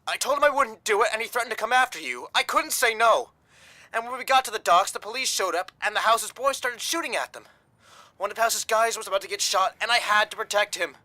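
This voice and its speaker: A man's voice: high-pitched, fast talker, bright, forceful tone, no room sound. An actor in a radio drama playing a desperate, guilt-ridden young gangland man who is protective of his lover, earnest and intense. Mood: anguished.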